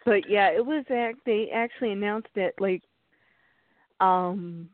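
Heard through thin, phone-like audio, a woman of medium pitch chats casually. Her delivery is halting, with phrases restarted and repeated. The sound is dry and close. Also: no room sound. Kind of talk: casual chat.

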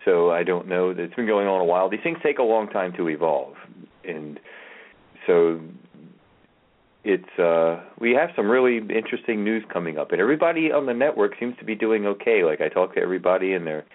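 Man, very low voice, loose rambling chat, phone-quality radio audio. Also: expressive, fairly quick. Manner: rambling, hesitant, trails off. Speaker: radio network host. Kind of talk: casual chat. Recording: phone-line radio call.

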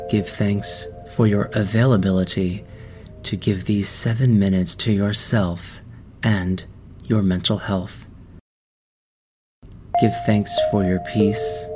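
Male meditation guide, very slow, soothing, low voice, music underneath. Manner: slow, soft, soothing delivery with long pauses.

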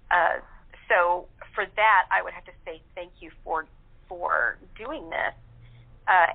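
Female guest, lower pitch, heartfelt and halting, narrow telephone audio. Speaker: radio play cast guest. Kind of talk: earnest personal reflection in group chat. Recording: tinny narrow phone line.

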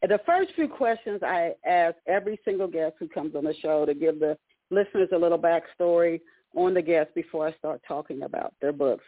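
A woman's voice: on the low side, a little fast, no echo. A woman hosting a book interview show, introducing her guest with chatty, repetitive phrasing. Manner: warm chatty introductions with repeated words and restarts. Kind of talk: radio interview call-in. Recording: dry tinny phone line.

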